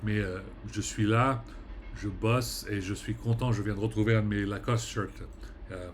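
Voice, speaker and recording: A French-speaking man rambling through a casual vlog monologue, halting and full of fillers, often trailing off quietly at the ends of phrases. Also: on the low side, steady tone, slight background noise. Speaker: vlogger.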